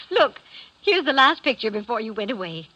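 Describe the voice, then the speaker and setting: A woman's voice: fairly high pitch, very animated, normal speed, bright, forceful tone, heavily acted. An actress playing a doting, chatty middle-aged mother in a 1940s radio drama, coaxing and fond with pet names. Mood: fond, proud. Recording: muffled, noisy 1940s radio recording.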